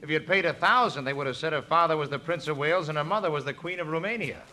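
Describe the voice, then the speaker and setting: An American actor playing an easygoing, gently teasing young romantic lead in a 1950s radio musical comedy. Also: male voice, a little high, quick pace. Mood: amused teasing. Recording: vintage 1950 radio broadcast recording.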